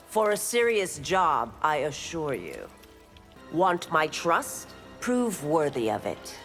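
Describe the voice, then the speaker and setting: A woman's voice: low voice, huge pitch swings, takes it slowly, fairly bright tone, faint music behind, full character voice. A woman playing a tough chief constable in a fighting game, firm, curt and no-nonsense. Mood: stern. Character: stern, dutiful female chief constable, tough law enforcer. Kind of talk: fighting game dialogue acting.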